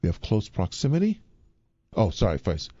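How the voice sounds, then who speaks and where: A man hosts a live radio talk show, speaking with theatrical emphasis and a playful streak. His pitch rises and falls in lively swoops, and the dry, dull lo-fi sound is close and plain. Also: moderate pace, no room sound.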